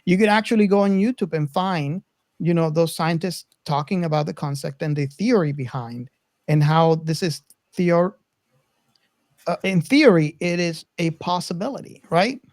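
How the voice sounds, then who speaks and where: A man's voice: on the high side, moderate pace, a little mellow, no room sound. A man hosting a casual film discussion, rambling and circling back over his phrases as he talks. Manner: rambling, repeats and restarts phrases. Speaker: podcast host.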